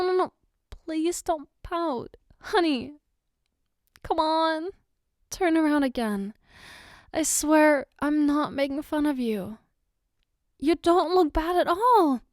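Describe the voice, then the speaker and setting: A woman acts out a loving girlfriend speaking one-on-one in an ASMR roleplay. Her voice is high and takes its time, recorded dry and intimate. She is tender and reassuring, with a playful, coaxing tease. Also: dry recording, heavily acted. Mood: amused, apologetic. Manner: tender, coaxing, soft giggles between phrases.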